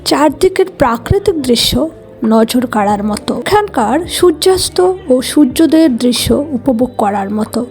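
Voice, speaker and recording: A woman's voice: sits high, lively intonation, normal speed, darkish tone, soft background music. A woman with a Bangladeshi accent narrating a casual family travel vlog in voice-over.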